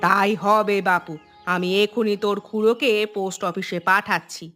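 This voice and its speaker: A woman's voice: medium pitch, steady tone, normal speed, a bit bright, soft background music, natural voice. A woman voicing a scolding, scheming older village mother-in-law in a moral-tale cartoon. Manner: grumbling, scolding.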